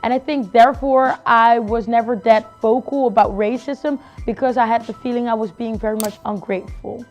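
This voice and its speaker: A woman's voice: fairly high pitch, fairly even intonation, brisk, few pauses, a little mellow, soft background music. A woman of twenty-one, telling her personal story in a reflective, conversational way. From Netherlands.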